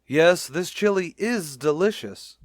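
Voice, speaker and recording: An American actor playing a shy, naive bachelor schoolteacher, hesitant and earnest, in a vintage radio sitcom. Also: male voice, a little high, lively intonation, takes it slowly, no echo, clean studio sound. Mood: bashful, earnest. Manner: hesitant, earnest, literal-minded.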